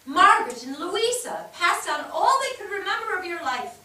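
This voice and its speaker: Actress playing earnest female storyteller, declamatory stage delivery, bright and lively, echoey distant recording. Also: extremely high pitch, moderate pace, full character voice. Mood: earnest, explanatory. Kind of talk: staged play reading, theatrical acting. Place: theatre stage, recorded from afar. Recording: distant microphone in echoey theatre.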